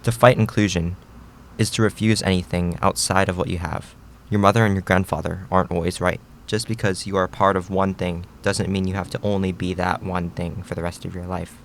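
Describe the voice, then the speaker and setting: A man playing a gentle, reflective middle-aged Japanese immigrant father in an audio drama, speaking in a measured, reassuring way. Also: sits low, flat delivery, gravelly, a little hiss or hum.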